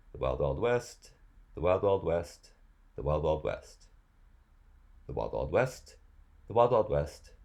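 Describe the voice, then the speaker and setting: A man's voice: extremely low pitch, creaky, darkish tone. A man reading rap lyrics aloud as spoken word, as host of the reading.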